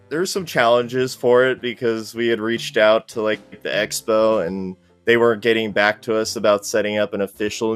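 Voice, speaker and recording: Give me a relaxed male podcast guest with a smooth, fairly low voice, recorded dry over faint music. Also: no room sound. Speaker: podcast guest, cosplay group organizer. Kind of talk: casual interview chat.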